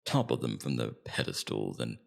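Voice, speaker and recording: A man playing a scheming, immortal Demon King in an ASMR roleplay, taunting and persuasive, with theatrical, deliberate emphasis. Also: very deep voice, huge pitch swings, vocal fry, full character voice. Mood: cold, persuasive. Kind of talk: ASMR roleplay, scripted villain monologue.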